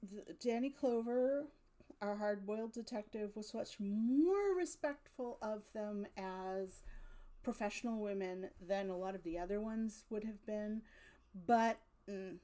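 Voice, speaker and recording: A woman's voice: mid-range voice, mellow. A woman giving casual, unscripted commentary as a video host, thinking aloud with wry asides. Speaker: video host reviewing old radio drama.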